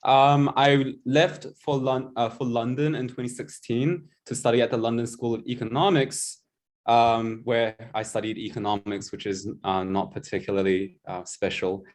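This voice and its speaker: A man's voice: medium pitch, fairly even intonation, moderate pace. A young man in his twenties with a New Zealand accent, giving a casual, self-mocking presentation over a video call with lots of ums. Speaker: guest presenter, tutor.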